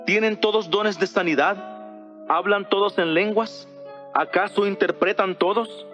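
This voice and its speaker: An earnest apostle addresses his church in a slightly high male voice. He speaks in solemn, measured phrases with rhetorical weight, as in an audio Bible drama. Music plays softly behind him. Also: dull audio. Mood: exhorting. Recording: voice over background music.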